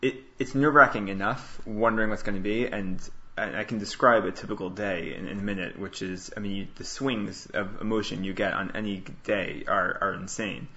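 Gravelly, lowish-voiced male startup founder. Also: steady tone, fairly quick, sharp tone, muffled recording. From Queens, New York, United States. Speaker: interview guest, startup founder and CEO. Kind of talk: casual conversational interview answers.

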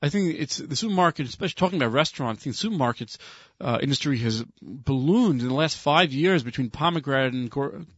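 A man's voice: mid-range voice, expressive, fast talker, no room sound. Agreeable man chatting on dull radio audio. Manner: agreeable, affirms often, rambling sentences. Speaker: radio co-host. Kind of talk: casual radio chat. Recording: dull dry studio radio sound.

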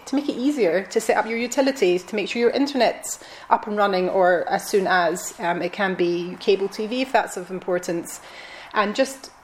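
A woman's voice: medium pitch, normal speed, a little hiss or hum, rough recording. A Scottish woman in her forties with a Glasgow accent, chatting as an interview guest with frequent ums and restarts. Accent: Glaswegian Scottish. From Scotland. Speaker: guest, concierge company owner. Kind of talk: conversational interview.

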